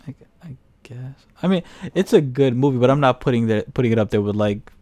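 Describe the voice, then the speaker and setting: A man's voice: mid-range voice, lively intonation, brisk, warm tone, no room sound. A man co-hosting a film review podcast in casual, slangy, opinionated banter with some swearing. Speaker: podcast co-host reviewing films.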